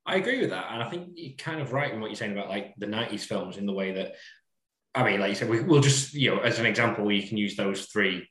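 Easygoing low-voiced man, echoey room. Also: expressive, fast talker, fairly bright tone. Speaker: podcast co-host. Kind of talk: casual podcast chat.

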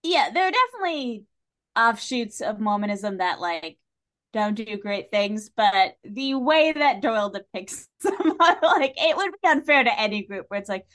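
A woman chatting casually as a podcast guest, with an animated, jokey way of talking. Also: a little high, normal speed. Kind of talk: casual chat.

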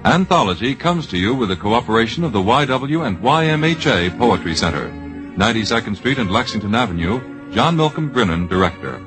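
A low-voiced American man works as a 1950s radio announcer. His delivery is formal and deliberate, with lively intonation. Music plays under the vintage broadcast. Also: low-quality recording.

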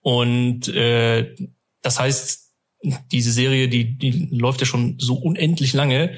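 Male podcast guest, mid-pitched voice, loose colloquial storytelling with an amused streak. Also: steady tone, slow pace. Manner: colloquial, rambling, often amused. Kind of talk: casual interview chat, telling personal anecdotes.

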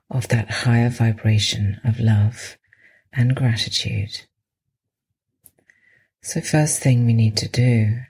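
A woman with a soft, breathy voice guides a meditation in a soothing way, pausing at length between phrases. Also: rumbling low. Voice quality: soft, breathy, sometimes near a whisper. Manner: slow, soothing, with long pauses. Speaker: meditation podcast host and guide.

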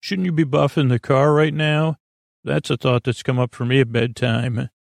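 A man's medium-pitched voice with a creaky, fried edge, recorded close and dry. He rambles through an improvised bedtime podcast monologue, stumbling over words and wandering into tangents. His delivery is absent-minded and self-deprecating. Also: fairly even intonation, no room sound. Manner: halting and meandering, stammers and trails off into tangents. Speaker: host of a bedtime sleep podcast.

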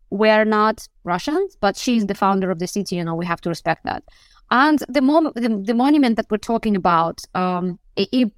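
A woman's voice: mid-range voice, clean tone, no room sound. Woman on a remote call, dry sound. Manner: thoughtful, searching, frequent restarts. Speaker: foreign correspondent guest. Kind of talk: news podcast interview answer.

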